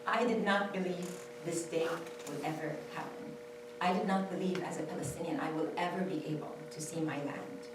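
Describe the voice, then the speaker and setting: Low-voiced woman, echoey hall. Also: brisk, hardly any pauses, recorded from a distance, background noise. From Saudi Arabia. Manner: earnest, repeats phrases for stress. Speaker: panelist. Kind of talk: personal testimony at a panel. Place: temple hall.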